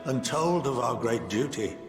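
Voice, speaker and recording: A man's voice: medium pitch, animated, normal speed, natural voice. Man acting an eloquent, lilting scholar-statesman in a game. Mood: measured, didactic. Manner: formal, eloquent, didactic. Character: erudite, measured male scholar and statesman, gently wry. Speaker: game character, scholar and council member. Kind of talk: scripted video game dialogue, lecturing. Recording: game audio with echo, loud music. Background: loud music.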